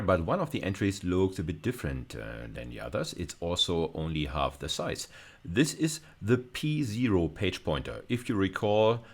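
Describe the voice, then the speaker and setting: Guy lecturing, rough voice, real low but swings around a lot, lots of uhs. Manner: thinking aloud, frequent fillers and restarts. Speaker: university lecturer. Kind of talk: recorded video lecture with screen demo.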